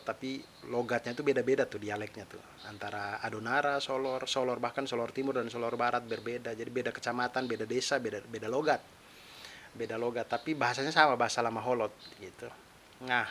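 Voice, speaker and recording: A man's voice: medium pitch, a bit bright. A young adult man from eastern Indonesia with a mixed eastern Indonesian accent, hosting his own podcast in a chatty, self-deprecating solo monologue. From East Nusa Tenggara, Indonesia. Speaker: podcast host, stand-up comedian. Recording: faint hiss.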